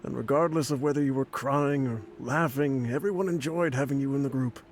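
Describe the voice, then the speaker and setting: An actor voicing a frail, ailing older man writing a farewell letter, dry and joking with soft laughs. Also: mid-range voice, fairly quick, close to an everyday voice. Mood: wry. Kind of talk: game voice acting.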